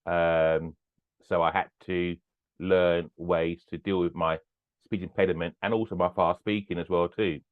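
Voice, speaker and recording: A man's voice: low voice, flat delivery, a little slow. An older man, a business owner and podcast guest, talking earnestly in a casual interview and repeating his phrases. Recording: tinny phone-like line.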